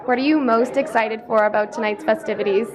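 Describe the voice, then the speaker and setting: Young woman hosting a red carpet chat, higher voice, peppy, loud crowd chatter behind. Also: fairly even intonation, a little fast. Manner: upbeat, scripted intro and questions. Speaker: entertainment host. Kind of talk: red carpet interview questions.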